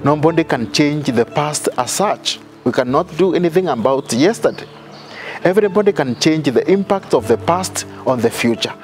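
A middle-aged man, a priest giving a measured sermon outdoors with many fillers and restarts. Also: fairly high pitch, animated, soft background music. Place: outdoor garden altar.

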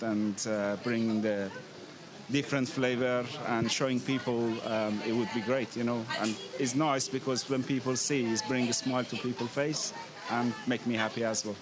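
Crowd chatter outdoors, man, medium pitch, Iranian accent, halting phrasing, chef being interviewed. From Iran. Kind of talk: informal interview answers. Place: open tent at an outdoor street food festival. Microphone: handheld microphone held out by interviewer. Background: crowd noise.

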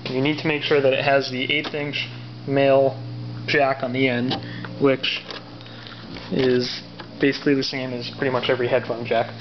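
A man with an average-pitched voice casually walks viewers through a DIY job step by step. He is matter-of-fact and pauses to find his words. The audio is dull camera-mic sound from a garage, with some light background noise. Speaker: DIY tutorial presenter. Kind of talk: casual unscripted how-to explanation. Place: garage or workshop with machinery. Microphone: handheld camera's built-in mic.

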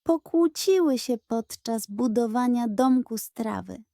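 A woman tells a children's bedtime tale at an unhurried pace. Her voice is soft-edged and mellow, on the higher side. She reads gently and expressively, as a storyteller does. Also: animated, creaky, no room sound, studio quality. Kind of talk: scripted storybook narration.

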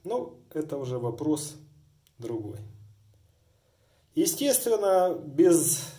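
A man's voice: fairly high pitch, big pitch swings, slow pace, warm tone. A middle-aged man demonstrating a honed razor, talking in a wordy, formal way with many hedges and asides. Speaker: razor sharpener. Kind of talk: hands-on demonstration. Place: indoors at a workbench.

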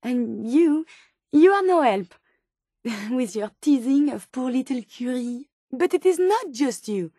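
A woman's voice: high-pitched, animated, slow pace, warm tone, no room sound, studio quality, full character voice. A woman with a French accent voicing an earnest, polite female robot scientist in a video game, with formal, precise wording. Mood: flustered. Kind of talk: video game character dialogue.

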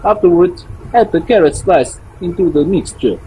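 A man's voice: sits high, lively intonation, normal speed, dark tone, a little hiss or hum, low-quality recording. A man hosting a casual recipe tutorial, chatty with simple phrasing and playful sing-song repeats. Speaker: cooking show host. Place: small indoor room.

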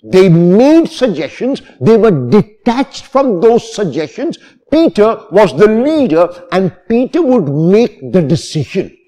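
A man in his sixties, a priest, preaching a homily in a deliberate, emphatic manner with phrases repeated for stress. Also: high voice, big pitch swings, dark tone. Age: 60s. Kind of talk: homily, expository sermon. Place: church, at the lectern.

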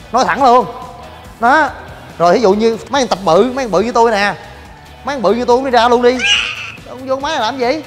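A young man hosting a gym vlog, joking in rapid banter and shouting coaching cues. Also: very high voice. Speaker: fitness vlogger. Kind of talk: energetic gym demo vlog. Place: large indoor gym. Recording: long gym reverb with music.

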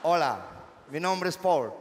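A man's voice: a little high, expressive, slow pace, background noise. A Chilean comedian in his forties performing a live stage sketch in an eager, clumsy comic way. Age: 40s. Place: large outdoor amphitheatre stage. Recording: live festival stage with crowd and hall reverb.

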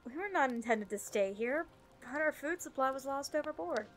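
Woman playing composed ship woman in fantasy audio drama, highish voice. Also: animated, normal speed, a bit bright, faint music behind. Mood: earnest.

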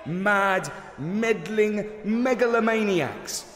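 A man voicing an indignant protest speaker shouting declamatory lines at a rally in a children's story. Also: high voice, expressive, extremely slow, voice pushed up, full character voice. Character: rabble-rousing male protest speaker at a rally. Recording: echoey public-address hall sound, crowd noise.

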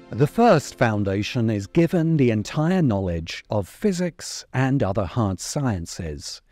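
A man in his forties narrating a scripted video essay in a deliberate, emphatic way, close to the microphone. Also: mid-range voice, warm tone, no echo. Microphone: close desk microphone. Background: music under the voice.

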